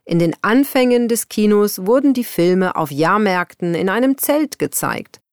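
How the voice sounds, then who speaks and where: A woman reading scripted exam texts and interview questions in a clear, lively way. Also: medium pitch, a little slow, no echo, studio quality. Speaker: exam recording reader.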